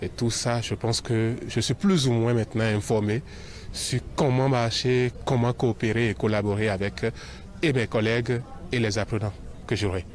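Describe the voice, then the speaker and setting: A man's voice: mid-range voice, fairly even intonation, moderate pace. A man with a Beninese accent speaking spontaneously as an interviewed teacher, repeating words as he builds his sentences. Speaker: volunteer French teacher, interviewee.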